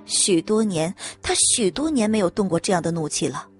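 A woman reading scripted dramatic audiobook narration in an expressive storytelling manner. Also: medium pitch, moderate pace, faint music behind.

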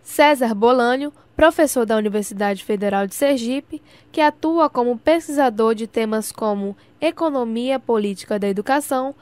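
High-pitched Brazilian woman reporting news. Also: moderate pace, few pauses, darkish tone, dry recording. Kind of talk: scripted radio news report.

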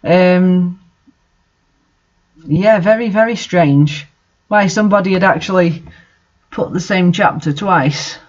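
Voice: mid-range voice, takes it slowly, long pauses, lo-fi sound. A host reading fan fiction aloud and adding casual, incredulous commentary.

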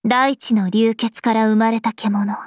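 Woman as a regal, slow-speaking vampire princess. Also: on the high side, little pitch movement, dry recording, studio quality, soft background music, natural voice. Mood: serene, pensive. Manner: formal, poised, measured. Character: regal, serene vampire princess spirit of the planet. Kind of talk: scripted mobile game character voice lines.

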